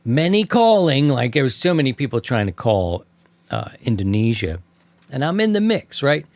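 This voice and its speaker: A man's voice: mid-range voice, very animated, moderate pace, no room sound. Man telling casual stories, very dry sound. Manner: colloquial, slangy, acts out remembered exchanges. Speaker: amateur radio show host. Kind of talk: casual anecdotal storytelling to co-hosts.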